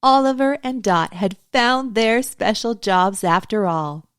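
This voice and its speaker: A woman reading a picture book aloud to young children as a storytime host, in an expressive, deliberate way. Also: medium pitch, clear, resonant tone, no echo, studio quality.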